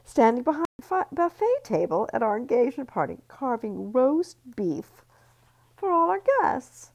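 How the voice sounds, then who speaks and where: A smug, theatrical rich young mother savouring her big reveal, as a woman narrator puts her on. The voice sits well above the narrator's own, bright and lively. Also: high-pitched, normal speed, vocal fry, voice pushed up, heavily acted. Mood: gloating reveal. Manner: theatrical, gloating.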